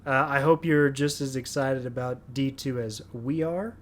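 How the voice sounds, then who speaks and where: A man's voice: medium pitch, fairly even intonation, measured pace. A man hosting a gaming podcast, teasing his co-hosts in casual chat. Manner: laughs often, teasing. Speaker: podcast host.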